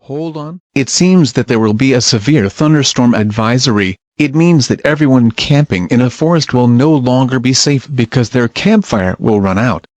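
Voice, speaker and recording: A man's voice: mid-range voice, animated, normal speed, a little mellow, no echo, lightly acted. A man playing a formal, cautioning radio weather reporter reading a scripted bulletin.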